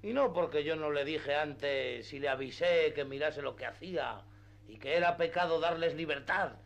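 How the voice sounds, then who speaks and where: Guy doing a rustic tattletale squire, highish voice, blunt, radio play style. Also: moderate pace, vocal fry, lo-fi sound. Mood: blunt, tattling. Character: plain-spoken, tattling rustic male squire. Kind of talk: classic radio theatre acting.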